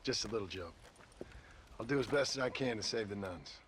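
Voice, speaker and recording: An actor playing a laconic, dry-humored gunslinger in a western video game, speaking in a deadpan manner. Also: male voice, fairly low pitch, fairly quick, slight background noise.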